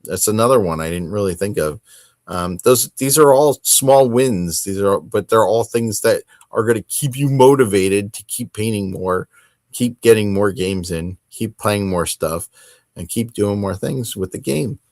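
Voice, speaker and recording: A man hosting a hobby podcast, talking casually on his own in a rambling, halting and self-deprecating way. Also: lowish voice, darkish tone, no echo. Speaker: host of a tabletop wargaming podcast. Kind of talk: casual solo chat to camera.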